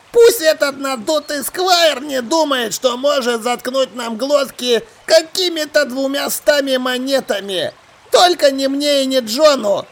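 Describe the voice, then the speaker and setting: Here is a brash old pirate from an adventure audiobook. His voice jumps high and loud, and he drags out his words with greedy, jeering glee. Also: exaggerated voice. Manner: loud, drawn-out jeering exclamations. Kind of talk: acted audiobook dialogue.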